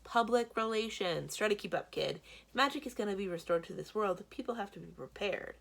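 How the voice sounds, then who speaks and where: A woman's voice: mid-range voice, fairly quick, gravelly, fairly bright tone, pitched above the performer's own voice. A woman voicing a sly, theatrical male potion seller with smug salesman patter in a children's storybook. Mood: exasperated, persuasive. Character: Blue, sly bald theatrical male potion-selling schemer. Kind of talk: children's book read-aloud.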